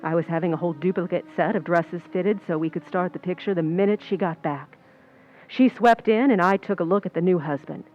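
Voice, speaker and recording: A woman's voice: lowish voice, a little fast, dull audio, soft background music, natural voice. Woman playing a wry, cynical Hollywood stand-in. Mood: sardonic. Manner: wry, knowing, sardonic. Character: wry, cynical female movie stand-in telling her story. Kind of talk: first-person narration in old-time radio suspense.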